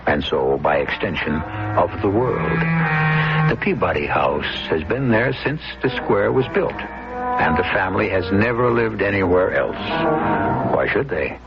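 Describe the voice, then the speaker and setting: A male radio host narrates in a very low, creaky voice. His delivery is measured and theatrical, with dramatic pauses, over loud music on a dull old broadcast. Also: animated.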